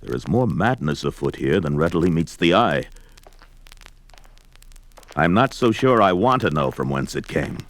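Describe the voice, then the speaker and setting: An actor playing a fierce, brooding barbarian warrior in theatrical, declamatory 1970s audio drama style, talking aloud to himself. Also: male voice, very deep voice, very animated, moderate pace, long pauses. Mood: wary, musing.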